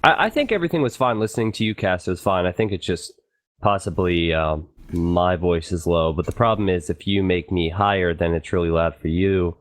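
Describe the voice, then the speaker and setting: A man casting a live strategy game match, talking in a relaxed, analytical, chatty way. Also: low-pitched, a little fast. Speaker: esports caster.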